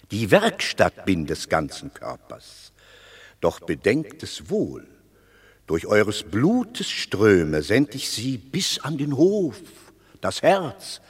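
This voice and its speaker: An actor playing a wily, eloquent Roman patrician in a radio drama, theatrical and rhetorical, placating rebels with fables. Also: male voice, fairly low pitch, breathy edge, exaggerated voice. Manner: theatrical, deliberate, rhetorical, swinging from hushed to declaiming. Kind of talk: classical German stage-style radio drama acting. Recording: long reverberant hall.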